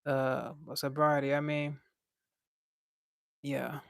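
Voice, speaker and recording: A man's voice: mid-range voice, completely flat delivery, very slow, creaky, a bit bright, clean tone, no room sound, clean studio sound. A young man with a light voice, chatting casually on a livestream and reading out chat in a laid-back, slangy way.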